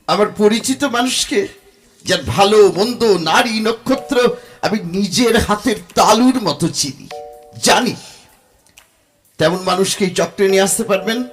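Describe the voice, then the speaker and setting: An actor playing an angry, sarcastic skeptic who challenges a spirit medium in a theatrical, forceful audio drama style. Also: male voice, high-pitched, measured pace, soft background music. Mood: challenging.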